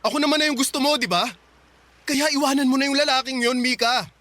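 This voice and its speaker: A man's voice: extremely high pitch, fast talker, dry recording. An actor playing an insistent, lovesick young male suitor in a Filipino radio drama. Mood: insistent, pressing. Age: young adult. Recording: loud music under the voice.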